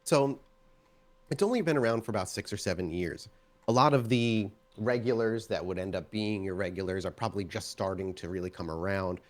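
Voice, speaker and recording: A man's voice: on the low side, a little fast. A man running a tabletop roleplaying game as storyteller, narrating scenes descriptively and addressing his players. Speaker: game master.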